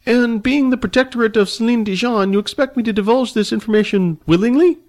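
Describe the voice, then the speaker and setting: A man reading a storybook aloud, voicing a fussy, pompous old male wizard with a thin, high voice and a prim, inquisitive manner. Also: brisk, darkish tone. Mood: amused.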